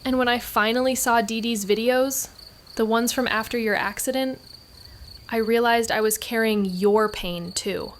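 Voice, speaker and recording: A woman playing a guilt-ridden young woman in an audio drama, speaking in an emotional, halting, confessional way. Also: fairly high pitch, steady tone, slight background noise, close to an everyday voice. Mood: tearful, confessional. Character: Mags, guilt-ridden, anguished young woman, former best friend. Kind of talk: naturalistic audio drama acting.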